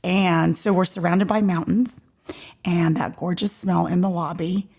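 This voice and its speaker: Deep-voiced, gravelly woman podcaster. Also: unhurried. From Georgia, USA. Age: 40s. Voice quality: deep, loud voice. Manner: chatty and animated, drops into whispers for effect. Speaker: podcast host. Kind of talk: casual personal storytelling.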